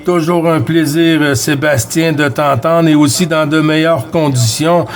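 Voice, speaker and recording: A man with a Quebec French accent chats casually as a CB radio operator, speaking in short phrases with drawn-out hesitations. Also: fairly high pitch, fairly even intonation, faint music behind. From Quebec, Canada. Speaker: CB radio operator running a round-table contact. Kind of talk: casual amateur radio chat.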